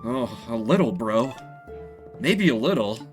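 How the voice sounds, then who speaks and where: A man's voice: mid-range voice, sing-song, measured pace, faint music behind, pitched below the performer's own voice. A man voicing an eager, brash young mouse brother in video game dialogue. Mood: timid.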